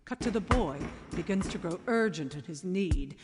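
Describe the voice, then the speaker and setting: An actress playing a theatrical screenplay narrator who calls out shots and actions in a deliberate, emphatic, dramatic style. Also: female voice, on the low side, animated, natural voice. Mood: sultry suspense. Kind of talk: scripted stage-direction narration. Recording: long reverb under music.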